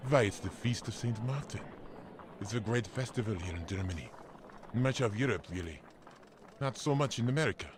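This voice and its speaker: A deep-voiced, kindly village priest from Germany, played by a male actor. He speaks in a gentle, measured, formal way, patiently explaining things as in a family audio drama. There is faint noise behind him. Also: animated, lightly acted. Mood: warmly explaining.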